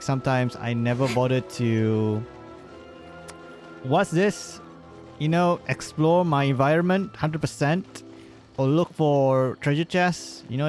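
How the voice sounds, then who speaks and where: A man's voice: on the high side, a little mellow. A man live-streaming with casual, joking, informal gameplay commentary and hesitant phrasing. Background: game music.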